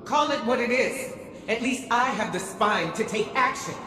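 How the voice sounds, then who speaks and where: A woman playing a fierce, indignant leader in a video game cutscene, speaking in a sharp, imperious, confrontational manner. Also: on the high side, moderate pace, lightly acted. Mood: angry, bitter. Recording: echoing large hall, loud ambient noise.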